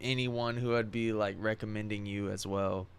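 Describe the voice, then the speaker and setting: A man interviewing a musician in a casual chat, relaxed, with short affirming replies. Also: fairly low pitch, normal speed, clear, resonant tone, no room sound.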